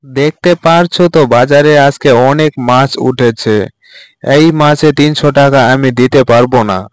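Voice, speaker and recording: A man's voice: mid-range voice, fairly even intonation, moderate pace, clear, resonant tone, no echo, voice pushed up, close to an everyday voice. A man voicing a brusque, dismissive fish buyer haggling in a cartoon story. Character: blunt, haggling male fish buyer at market. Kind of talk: amateur cartoon story dialogue. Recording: loud background music under voice. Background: music.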